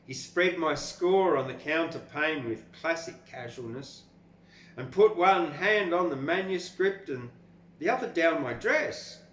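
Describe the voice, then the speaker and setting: A man's voice: a little high, lively intonation, measured pace, fairly bright tone. An older Australian man hosting a poetry podcast, talking and reading in an informal, rambling, blunt way. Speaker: poetry podcast host and reader. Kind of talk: casual commentary and expressive poetry reading. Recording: echoey room with faint background noise.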